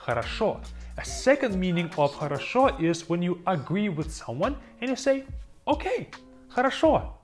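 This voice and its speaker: A dubbed voice teaching beginner vocabulary in a slow, scripted lesson style, repeating each word for learners. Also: mid-range voice, big pitch swings. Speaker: language teacher. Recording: auto-dubbed voice with faint music.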